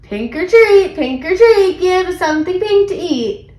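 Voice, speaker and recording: Woman acting eager little girl superhero, very high voice, exclamatory, earnest, storybook drama, echoey room. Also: expressive, moderate pace, a bit bright. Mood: delighted.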